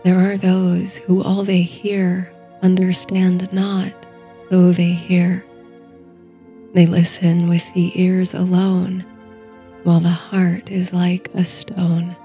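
A woman narrating a bedtime story and guided meditation in a soothing, hushed, softly breathy voice. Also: on the low side, completely flat delivery, faint music behind. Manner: soothing, hushed, unhurried delivery. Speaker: host and narrator of a sleep story series.

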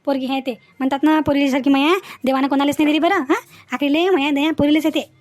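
High-voiced, soothing middle-aged mother played by a woman. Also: fairly even intonation, fast talker, natural voice. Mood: placating. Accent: Vidarbha Marathi. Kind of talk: cartoon family drama dialogue.

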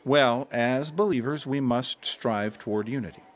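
An actor playing an earnest pastor leading a Bible study in a radio drama, measured and explanatory. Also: male voice, mid-range voice, lightly acted.

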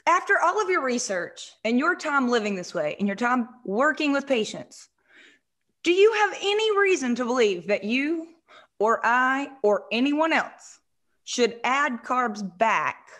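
Bright-voiced woman hosting an interview. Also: high-pitched, very animated, measured pace. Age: 40s. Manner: friendly, chatty questions. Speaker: host. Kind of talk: casual interview. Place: home living room. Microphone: studio mic in view.

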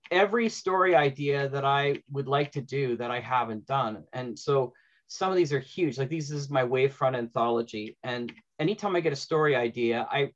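Middle-aged man on a dull video call. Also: mid-range voice. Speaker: panel host and audio drama writer. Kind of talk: informal panel talk. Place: indoor room at home, on a video call.

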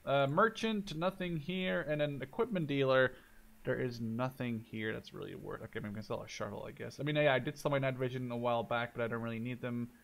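A man in his twenties giving casual, excitable let's play commentary, thinking out loud as he goes. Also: medium pitch, brisk, vocal fry. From Canada or the Netherlands. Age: 20s. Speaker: gaming video host.

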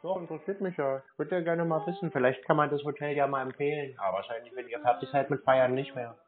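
A man, teasing a phone scammer in a playful, deadpan way. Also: mid-range voice, quick pace, narrow, tinny audio. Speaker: channel host baiting a phone scammer. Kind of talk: casual prank phone call.

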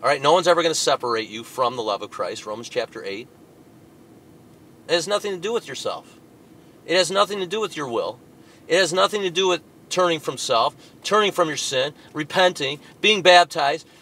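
A man preaching a fiery sermon, reading out a tract and rebutting it, moving between loud, emphatic bursts and low asides. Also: lively intonation, fairly quick.

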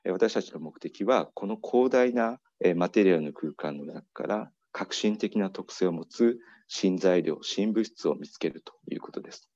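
A middle-aged man with a very low, mellow voice delivers an academic keynote over slides, pausing often and filling gaps with hesitation sounds. The sound is dry and a little dull, like a remote talk recorded at a desk. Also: dry recording. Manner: measured, frequent fillers and pauses. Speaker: keynote speaker. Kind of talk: academic conference lecture. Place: indoor room, video talk over slides.

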